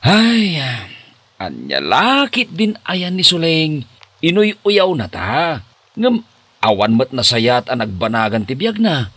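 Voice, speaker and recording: Man acting a husky, bright Ilocano boyfriend. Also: on the high side, wildly swooping pitch, slow pace, no room sound, full character voice. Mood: pitying. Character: supportive young boyfriend helping at a food stall. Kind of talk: Ilocano comedy drama acting.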